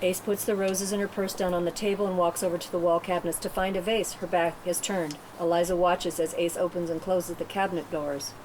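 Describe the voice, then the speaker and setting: A woman's voice: steady tone, brisk, hardly any pauses, darkish tone, low-quality recording. A woman narrating screenplay directions at a table read. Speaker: narrator reading stage directions. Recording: clear background rumble and room noise.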